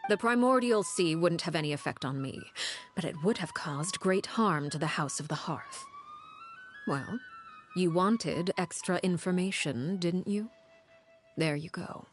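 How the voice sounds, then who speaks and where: An actress playing a calculating woman of authority in a video game, speaking in a measured, formal, guarded way with dry wit. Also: lowish voice, lively intonation. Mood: composed, guarded. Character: cool, calculating, commanding adult woman of authority. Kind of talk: anime-style video game voice acting. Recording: music faintly behind the voice.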